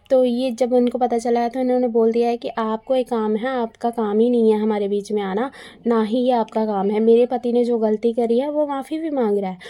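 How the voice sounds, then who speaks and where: Female online commentator, soft-edged voice on the higher side, chatty and opinionated, retelling gossip casually. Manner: opinionated, conversational, repeats phrases for emphasis. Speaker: YouTube commentator.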